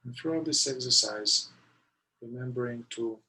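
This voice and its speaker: A man leading a guided meditation in short, deliberate phrases with long pauses between them. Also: medium pitch, sharp tone, rough recording. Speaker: meditation guide, somatic therapist.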